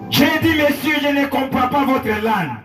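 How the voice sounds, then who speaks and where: A male pastor preaching in French with an interpreter, telling a story with emotion, moving between hushed and raised passages. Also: very high-pitched, animated, moderate pace. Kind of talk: sermon with interpreter. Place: church hall. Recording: echoey church hall, far from mic, music behind.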